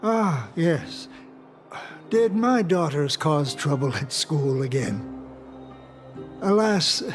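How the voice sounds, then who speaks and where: Halting, gentle elderly father. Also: a little high. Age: older. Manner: halting, wistful. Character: gentle elderly father, forgetful and doting, grieving. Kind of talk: dramatic game voice acting. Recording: music behind the voice. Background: clear background music.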